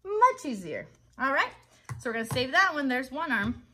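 A woman's voice: sits high, sing-song, normal speed, background noise. An American woman in her thirties hosting a live craft tutorial for children, chatty and encouraging as she talks directly to them. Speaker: children's craft host and puppeteer. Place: child's bedroom set indoors.

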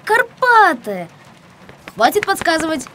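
A woman voicing a bright, sensible, helpful young boy in an animated cartoon, with an earnest, youthful manner. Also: very high voice, takes it slowly, slight background noise, exaggerated voice. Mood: puzzled.

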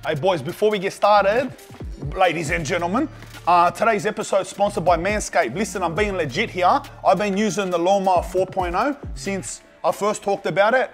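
A man's voice: high-pitched, normal speed, soft background music. A man reading a podcast sponsor spot in a pushy, jokey sales patter. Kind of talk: casual ad read.

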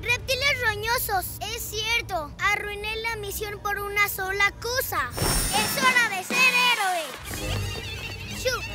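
A woman voicing an eager young boy hero in a cartoon, earnest and excitable. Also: extremely high pitch, slow pace. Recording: loud music behind.

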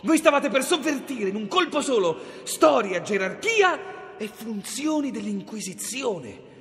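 An actor playing a cold, commanding medieval inquisitor in a radio drama. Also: male voice, extremely high pitch, very animated, a little slow, fairly bright tone, big-room sound, heavily acted. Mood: scornful. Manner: commanding, scornful. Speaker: inquisitor Eymerich.

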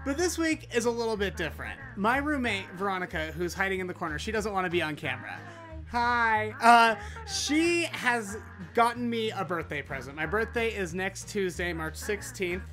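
Effusive, high-voiced American man, very animated. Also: moderate pace, faint music behind. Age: late twenties. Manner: effusive, theatrical, gushing. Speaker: host of a toy unboxing show. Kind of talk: excited unboxing chat to camera. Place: small room full of toy shelves, indoors. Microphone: desktop USB condenser microphone.

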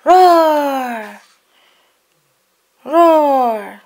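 A woman plays a small, boastful storybook dinosaur who loves to roar. The voice is lively and swooping, high-pitched, and stretched out slowly in exclamations. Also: full character voice. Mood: boisterous. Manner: loud, boastful, exclamatory roaring. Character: small excitable red cartoon dinosaur who loves roaring. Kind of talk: children's storybook read-aloud.

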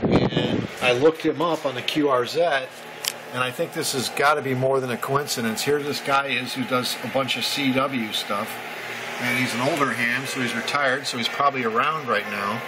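A man talks casually through his ham radio hobby in a halting, thinking-aloud way. His voice sits at an average pitch. It is recorded on a handheld camera in a small room, with loud noise from the radio all around it. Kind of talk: casual hobby vlog, narrating as he goes. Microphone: handheld camera or phone mic.

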